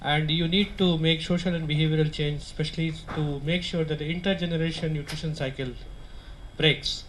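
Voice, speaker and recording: Higher-voiced man asking from the floor. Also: steady tone, brisk, a bit bright, slight background noise. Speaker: audience member. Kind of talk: audience question at a conference.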